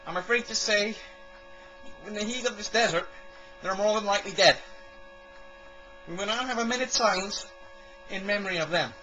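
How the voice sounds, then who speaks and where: An officious army captain, played by a man, with a high, bright voice. He announces in formal, measured phrases, as in a homemade military radio play, with music behind him. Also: rough recording. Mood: solemn, official. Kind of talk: amateur audio drama acting.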